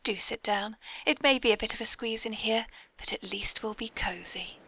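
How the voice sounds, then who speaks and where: High-voiced English woman, playful podcast host. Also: moderate pace, pushed and bright, breathy edge. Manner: playful, polite. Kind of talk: whimsical hosting and chat. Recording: dull lo-fi amateur podcast.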